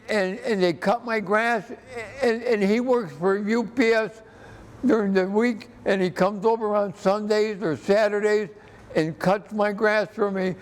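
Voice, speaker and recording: Old american guy in his 80s, high voice, slow and halting, earnest, big echoey room. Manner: earnest, halting, repeats himself. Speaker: interview guest, army veteran. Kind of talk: personal reminiscence in an interview. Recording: echoey hall with background noise.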